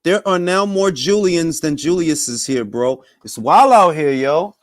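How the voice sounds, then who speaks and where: A man's voice: fairly high pitch, expressive, measured pace, dry recording, studio quality. A young man hosting a casual boxing talk stream, bantering with loose, profane energy. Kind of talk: casual live-stream chat with viewers.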